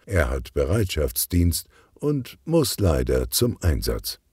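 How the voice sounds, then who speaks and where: A man narrating a scripted children's detective story in an expressive storytelling manner. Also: extremely low pitch, slow, slightly rough, no echo, clean studio sound. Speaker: audiobook narrator.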